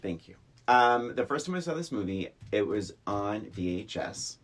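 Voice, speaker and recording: Middle-aged podcaster, animated and rambling. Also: deep voice, a little hiss or hum. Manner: halting, rambling, self-quoting storytelling. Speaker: podcast co-host. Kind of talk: casual movie discussion.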